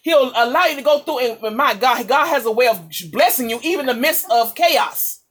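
A woman's voice: high voice, a little fast, a bit bright. A woman preacher giving an impassioned, exhorting charismatic sermon, repeating phrases for emphasis.

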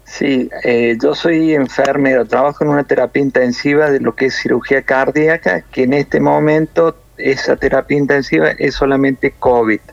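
A man from Córdoba with a Cordoban Argentine accent, a nurse explaining things in a measured way with many hesitations over a phone interview. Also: mid-range voice, fairly even intonation, creaky, muffled recording. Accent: Cordoban Argentine Spanish.

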